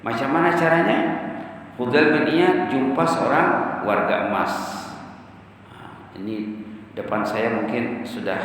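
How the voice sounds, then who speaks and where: A man's voice: medium pitch, fairly bright tone. A man giving an Islamic sermon to a congregation as an ustaz, in a didactic manner, asking rhetorical questions and answering them, recorded in a mosque hall. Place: large mosque prayer hall. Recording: very echoey mosque hall, distant mic, faint noise.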